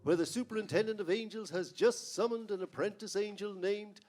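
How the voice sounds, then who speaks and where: A man's voice: high voice, fairly quick, a little mellow, soft background music. A man announcing and narrating a scripted old-time radio drama.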